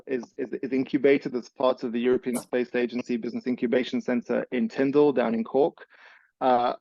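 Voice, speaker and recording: A man from Ireland giving an energetic, persuasive startup pitch over a video call, then answering questions. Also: creaky, no room sound, muffled recording. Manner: energetic, persuasive, fast-talking with restarts. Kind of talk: business pitch and Q&A.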